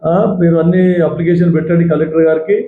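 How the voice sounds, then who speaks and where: A man with a Telangana Telugu accent fielding calls as a legislator, brisk and repeating himself as he answers. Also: fairly high pitch, level tone. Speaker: elected legislator taking phone-in calls. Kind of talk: question-and-answer with callers. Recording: phone-like sound, roomy echo, noise.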